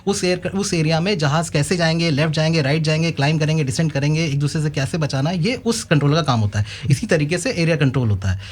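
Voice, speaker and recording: A man with an Indian accent answering interview questions as an expert guest, explaining haltingly and repeating words. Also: a little high. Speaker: air traffic controller guest.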